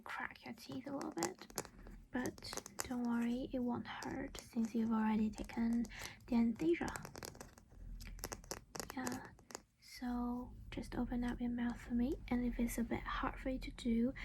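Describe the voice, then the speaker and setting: A woman whispering in a gentle, reassuring, halting way, in an ASMR roleplay as a caring vampire mother. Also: fairly high pitch, steady tone, noisy background. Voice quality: breathy whisper. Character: caring vampire mother doing a dental checkup.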